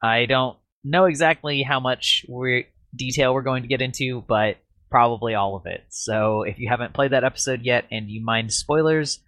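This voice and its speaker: A man with a slightly raspy voice chats casually as a podcast host. He rambles and laughs at himself. The sound is dry and close to the mic. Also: medium pitch, moderate pace, a bit bright, no room sound.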